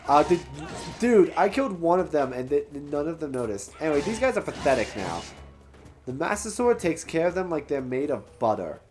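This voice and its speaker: A man's voice: on the high side, big pitch swings, moderate pace, darkish tone, soft background music. A man hosting a let's play, giving casual, joking commentary as he plays.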